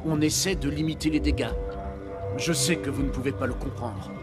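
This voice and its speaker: An actor playing a troubled, guarded research scientist in a video game, formal and defensive in manner. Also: male voice, mid-range voice, fairly even intonation, normal speed, over loud music, close to an everyday voice. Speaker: doctor, scientist.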